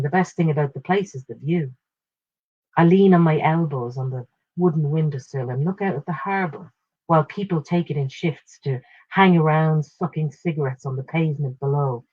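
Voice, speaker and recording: A woman narrates a short story with a husky edge to her voice. She reads in measured, expressive phrases at a middling pitch. The recording is dry and slightly dull, like a modest home setup. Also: no room sound.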